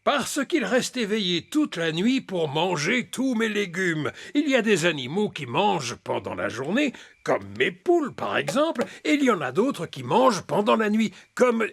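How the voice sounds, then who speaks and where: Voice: medium pitch, very animated, moderate pace, vocal fry, husky, full character voice. A performer voicing a kindly old grandfather pig in a French cartoon dub, explaining things in an avuncular way.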